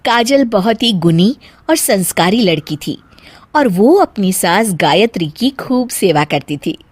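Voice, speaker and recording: Woman narrator, medium pitch, lively intonation, scripted storytelling, dry close recording. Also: unhurried, breathy edge, no room sound.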